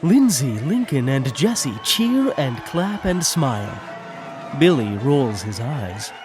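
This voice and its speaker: A man's voice: medium pitch, sing-song, slow pace, music in the background. A man narrating the action of a scripted audio drama.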